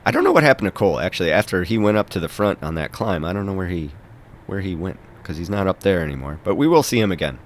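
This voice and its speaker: A man's voice: low-pitched, sing-song, fast talker. A man with a head cold, stuffed up, chatting casually and rambling a bit as he narrates a recap of his own bike race. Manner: chatty, rambling, self-deprecating.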